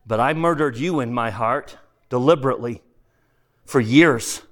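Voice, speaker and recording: A man voicing a serene, gently amused heavenly spirit in a storybook, speaking with calm candour. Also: medium pitch, moderate pace, close to an everyday voice. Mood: candid, earnest. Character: serene, mirthful heavenly spirit of a forgiven male murderer. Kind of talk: dramatised audiobook character voice.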